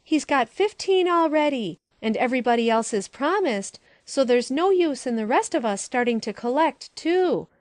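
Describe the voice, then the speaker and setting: A woman voicing an excitable, mischievous little boy who chatters eagerly in an audiobook. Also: high-pitched, normal speed, hardly any pauses, dry recording, studio quality, pitched above the performer's own voice. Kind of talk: audiobook character voice.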